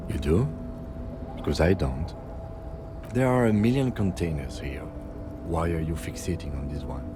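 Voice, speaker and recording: An actor playing a sensible, reserved police lieutenant in a detective game, speaking in a dry, matter-of-fact way. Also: male voice, extremely low pitch, normal speed, background noise. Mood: exasperated. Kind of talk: scripted game dialogue.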